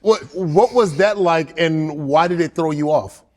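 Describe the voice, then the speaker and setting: A man co-hosting a casual talk show and interviewing a guest. He talks in a relaxed, easy way and often repeats his first words before getting a sentence out. His voice sits a bit high for a man. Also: a little slow. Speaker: podcast co-host. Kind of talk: casual chat.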